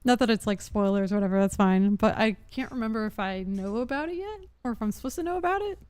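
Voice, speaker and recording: A woman streams a game with casual commentary. Her voice is clean and smooth at an average pitch, recorded very dry, as she muses aloud informally. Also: animated, fast, darkish tone, no room sound. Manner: musing aloud, giggly.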